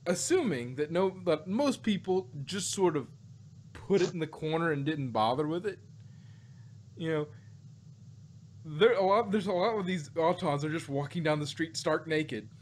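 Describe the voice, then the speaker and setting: A man's voice: a little high, lively intonation, moderate pace, slight background noise. A man podcast host in casual, joking banter, rambling through stories as he goes. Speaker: podcast host reviewing audio drama.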